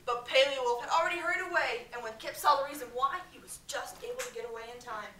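A middle-aged American woman, a schoolteacher, reading a storybook expressively to her class and pausing to ask questions. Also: sits high, pushed and bright. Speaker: elementary school teacher. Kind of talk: storybook read-aloud to a class. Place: medium-sized school classroom, indoors. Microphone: far camera. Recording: echoey classroom, distant mic, faint background noise.

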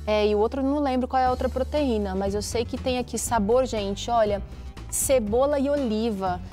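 A woman's voice: on the high side, fairly quick, dark tone, soft background music. A Brazilian woman in her thirties hosting a TV cooking show in a studio, chatty and enthusiastic as she speaks casually to viewers. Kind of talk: casual, promotional presenting to viewers. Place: TV kitchen studio.

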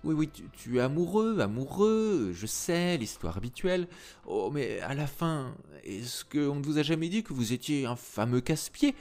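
A man's voice: lively intonation, moderate pace, a little mellow. A man voicing a curt, teasing young chambermaid in an audiobook reading. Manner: curt and teasing, high put-on voice. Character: sharp-tongued young woman, a chambermaid.

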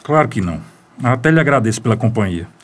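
A restless, troubled Brazilian man played by an actor in a radio soap. He talks quickly at a medium pitch. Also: animated, close to an everyday voice. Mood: agitated, polite. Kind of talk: radio soap opera acting.

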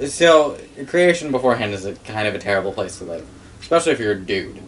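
A man's voice: fairly low pitch, big pitch swings, fast. A young adult man telling a story casually and off the cuff in a wry, sweary manner, recorded in a living room by a camera a few metres away. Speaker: storyteller recounting game lore. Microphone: camera mic a few metres away. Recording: room recording with clear background noise.

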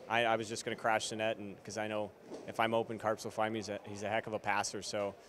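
A man's voice: on the low side, completely flat delivery, fast, noisy background. A man in his twenties, a hockey player, answering postgame questions casually with many fillers, into a handheld mic in an arena corridor. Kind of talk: postgame press interview. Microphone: handheld interviewer mic.

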